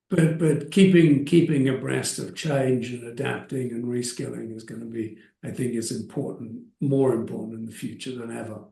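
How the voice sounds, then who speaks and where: A middle-aged man with an Australian accent answers interview questions slowly and thoughtfully. His voice is gravelly and mellow, and he often restarts his phrases. The sound is roomy and picked up from some distance away. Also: mid-range voice. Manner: hesitant, thoughtful, with frequent restarts. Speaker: expert witness, interview guest. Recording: echoey room, mic at a distance.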